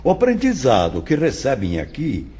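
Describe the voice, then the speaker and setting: A man playing a solemn, patient spiritual teacher who lectures his pupils in a measured, grand manner for an audio drama. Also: mid-range voice, huge pitch swings, mellow, slightly rough. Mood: kindly instructive. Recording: dull lo-fi audio, faint music behind.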